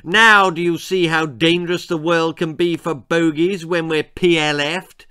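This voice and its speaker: Man voicing a squeaky, smug little bogeyman. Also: fairly even intonation, unhurried, sharp tone, clear, resonant tone, dry recording, clean studio sound. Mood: scolding, smug. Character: smug little bogeyman creature, scolding and proper.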